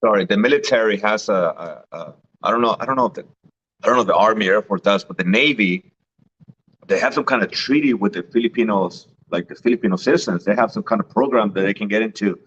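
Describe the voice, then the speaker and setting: A man's voice: fairly low pitch, fast, fairly bright tone, husky, highs cut off. A man who grew up in Mexico, chatting casually and telling stories, often repeating words and laughing. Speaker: guest. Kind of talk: casual chat.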